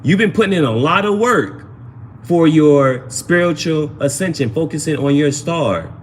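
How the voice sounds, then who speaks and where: A man's voice: fairly high pitch, lively intonation, normal speed. Man giving a tarot reading over noticeable noise. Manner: repeats phrases, slangy. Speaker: tarot reader, livestream host. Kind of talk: casual live tarot reading, talking to chat.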